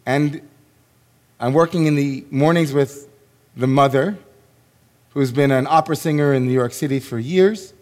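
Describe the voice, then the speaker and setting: A man, a rabbi, giving a sermon in a slow, reflective way with long pauses and a voice gone hoarse. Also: medium pitch, a little mellow. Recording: long hall reverb.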